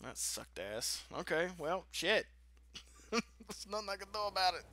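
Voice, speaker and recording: Animated guy doing a casual lets play, swears a lot, excitable, noisy game sound in the back. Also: high voice, a little slow. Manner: excitable, foul-mouthed. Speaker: gamer playing and commentating. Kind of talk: casual let's-play commentary.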